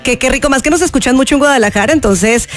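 A woman's voice: high voice, fast talker, soft background music. A woman from Colombia with a Colombian accent, co-hosting a radio show with playful, chatty banter about the weather. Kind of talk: casual banter and weather chat.

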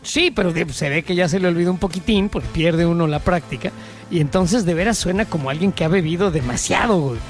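High-voiced irreverent Mexican radio co-host, male. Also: quick pace, faint music behind. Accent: Mexico City. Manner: irreverent, slangy, emphatic. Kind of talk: casual comedic banter.

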